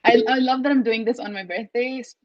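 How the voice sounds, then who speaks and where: A woman's voice: on the high side, fast talker, dry recording. Young Jordanian woman, dull dry recording. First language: Arabic. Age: young adult. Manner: warm, giggly, grateful. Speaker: student speaker. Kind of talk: open mic talk.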